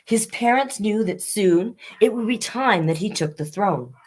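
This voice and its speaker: A woman's voice: mid-range voice, lively intonation, unhurried, husky. A woman hosting a children's storytime, reading picture books expressively with chatty asides to young listeners.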